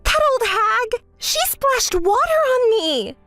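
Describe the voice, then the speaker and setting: A woman performs an entitled, hysterical young woman for a melodramatic story. Her voice is very high and swings wildly in pitch, whining and demanding with shrill edges. The recording is close and dry. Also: big pitch swings, unhurried, no room sound, faint music behind, exaggerated voice. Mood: furious. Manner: whiny, demanding, shrill outbursts.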